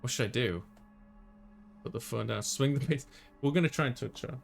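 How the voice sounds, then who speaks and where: A man hosts a gaming video, reading visual novel narration and dialogue aloud. His pitch sits at a middling level, and he slips in casual asides. Music plays behind him. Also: brisk, creaky.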